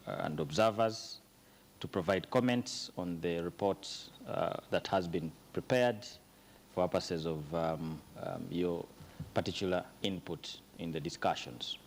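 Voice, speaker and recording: Chairman, male, low-pitched, formal and hesitant. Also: slight background noise. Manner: formal, with many ums and uhs. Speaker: meeting chairman.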